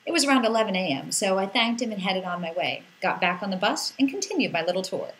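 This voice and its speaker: A woman reading a scripted first-person story as an expressive narrator who acts out the exclamations in it. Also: medium pitch, fast talker, a little hiss or hum, rough recording.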